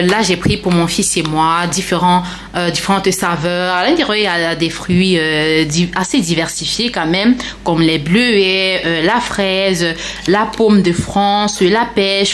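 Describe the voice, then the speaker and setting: Female lifestyle vlogger, slightly low register, informal direct-to-viewer delivery, light background noise. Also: fairly bright tone. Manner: chatty, explanatory, addressing viewers directly. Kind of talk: casual grocery haul vlog narration.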